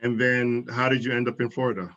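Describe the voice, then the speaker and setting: A man hosting a conversational political interview in a courteous, deliberate way. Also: medium pitch, fairly even intonation, a bit bright, muffled recording. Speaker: interviewer, moderator.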